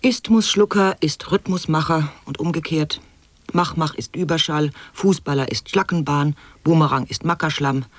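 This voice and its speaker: Higher-voiced, declamatory absurd monologist. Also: male voice, normal speed, dry recording. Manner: declamatory, staccato word lists. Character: eccentric monologuing man spouting absurd wordplay. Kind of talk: experimental sound-poetry radio drama.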